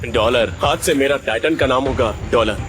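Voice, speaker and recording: A man playing an eager, ambitious young adventurer in an audio drama, excitable as he talks. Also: medium pitch, moderate pace, noisy background, close to an everyday voice. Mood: playful. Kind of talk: Hindi audio drama acting. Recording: music behind the voice.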